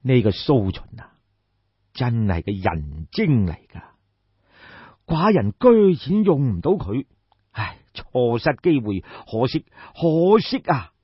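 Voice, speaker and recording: A man's voice: mid-range voice, very animated, slow, husky, no room sound, lo-fi sound, full character voice. A man voicing a shrewd, commanding ancient king in an audiobook, lecturing in a pompous, rhetorical way. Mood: rueful. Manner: pompous, rhetorical, sighing and explaining at length. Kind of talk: dramatised audiobook storytelling.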